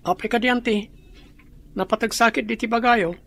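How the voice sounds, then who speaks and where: A woman acting as a worried, deferential younger sibling in an Ilocano radio drama, asking anxious questions in a creaky voice. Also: a little high, steady tone, a little fast, a bit bright, faint music behind. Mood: puzzled, worried.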